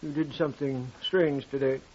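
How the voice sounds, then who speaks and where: An actor playing a blunt, wary, folksy janitor in a 1950s radio drama. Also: male voice, mid-range voice, steady tone, moderate pace, mellow, slightly rough, natural voice. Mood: suspicious. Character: plain-spoken, wary medical college janitor, male. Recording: dull vintage radio recording.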